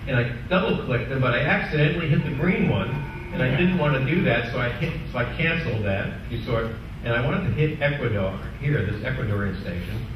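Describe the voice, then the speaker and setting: A man's voice: medium pitch, lively intonation, fairly quick, highs cut off. Echoey, distant man giving a technical demo. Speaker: club presenter giving a software demonstration. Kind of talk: informal technical presentation with live demo. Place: indoor club meeting room. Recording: echoey room from far off, clearly noisy.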